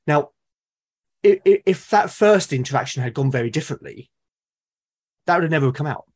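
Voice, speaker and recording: A British man chatting as a podcast guest, thoughtful and halting as he builds his points. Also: fairly high pitch, animated, darkish tone. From Britain. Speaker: podcast guest, game master and podcast creator. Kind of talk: casual interview conversation.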